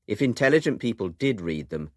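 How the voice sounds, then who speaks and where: A man's voice: on the low side, big pitch swings, a little fast, dry recording, studio quality. A British man presenting a scripted radio documentary in a wry, urbane way.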